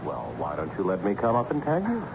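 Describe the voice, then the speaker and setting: An actor playing an intense, devious young man posing as a researcher in a radio drama, smooth and insistent. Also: low voice, huge pitch swings, a little fast, heavily acted. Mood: coaxing. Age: young adult. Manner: smooth, insistent, probing salesman patter. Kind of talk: 1970s radio drama acting. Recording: dull, noisy old radio recording.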